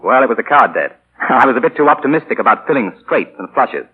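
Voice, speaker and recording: An actor playing a cocky, charming young spendthrift gentleman, all glib banter in 1940s radio style. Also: medium pitch, expressive, fast talker, breathy edge. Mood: breezy, flattering. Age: young adult. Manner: glib, breezy upper-class banter. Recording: muffled vintage 1940 radio broadcast.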